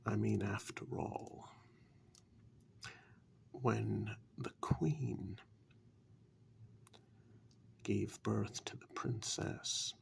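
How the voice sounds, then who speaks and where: Casting: male actor for condescending warlock, ASMR dark fairytale; lowish, extremely slow, soft gravelly delivery. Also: fairly even intonation, a little hiss or hum, heavily acted. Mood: smug, storytelling. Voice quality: soft, breathy half-whisper with gravelly creak. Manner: slow, deliberate, patronising, with drawn-out pauses. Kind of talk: ASMR fairytale role-play.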